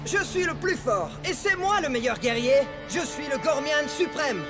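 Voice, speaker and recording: A very high, youthful voice for a cocky boy hero in a cartoon. He boasts and charges ahead impetuously, with clear music behind him. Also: expressive, normal speed. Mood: boastful, excited. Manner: boastful, impetuous, shouted battle calls. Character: brash cocky boy elemental knight of fire. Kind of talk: French cartoon dub acting.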